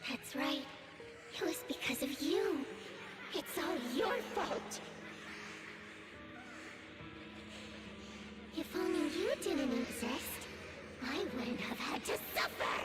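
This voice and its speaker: Ghostly young girl, very high voice, childlike, sweetly taunting, video game drama, music behind. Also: expressive, slow pace. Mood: accusing. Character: dead young girl, half-sister, sweetly eerie and accusing.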